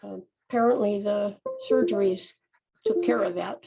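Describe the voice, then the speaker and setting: A woman with a froggy voice, congested from a sinus infection, haltingly giving an informal personal testimony as a patient speaker. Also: medium pitch, gravelly, poor audio. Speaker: patient speaker sharing her experience. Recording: loud background noise, clicks.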